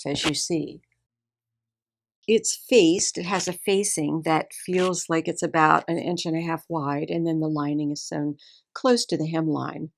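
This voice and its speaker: Woman with a Texas accent, low-ish voice. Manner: admiring, careful, explains details slowly. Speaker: seamstress host. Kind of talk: casual show-and-tell sewing video.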